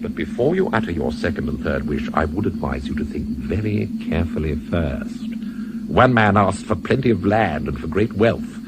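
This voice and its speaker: An urbane, pompous British devil, mocking and wry. His delivery swings widely in pitch, in a noisy old radio play recording. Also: animated, normal speed. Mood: sly, musing. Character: urbane, mocking male devil, theatrical and impatient. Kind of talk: 1970s radio play acting.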